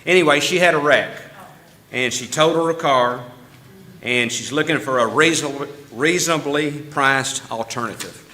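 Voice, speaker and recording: A man's voice: mid-range voice, normal speed, pushed and bright. A man giving casual church announcements in a folksy, chatty way, laughing easily and restarting his sentences. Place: church meeting room.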